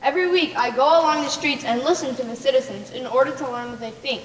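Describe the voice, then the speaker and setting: A high-voiced young teen on a school stage playing a stately, decisive sultan. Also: female voice, fast talker, close to an everyday voice. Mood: commanding. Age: young teen middle schooler. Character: stately, decisive sultan of Persia, a male ruler. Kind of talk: school stage play acting, declaimed lines. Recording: distant echoey hall, faint noise.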